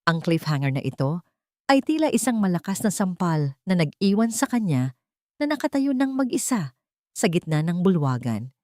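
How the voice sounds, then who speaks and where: A woman's voice: on the low side, very animated, normal speed, warm tone, no echo, studio quality. A woman reading a scripted story as a solemn, melodramatic audiobook narrator.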